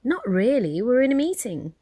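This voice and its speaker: High, curt, exasperated lawyer. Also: female voice, big pitch swings, normal speed, mellow, breathy edge, dry recording, clean studio sound. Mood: annoyed. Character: exasperated woman lawyer pulled out of a meeting. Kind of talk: radio play acting.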